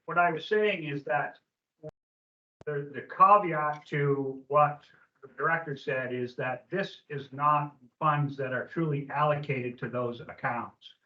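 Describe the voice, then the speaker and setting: A soft-spoken man, a board member, quietly discussing funds at a meeting. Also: fairly high pitch, steady tone, slow, dull audio.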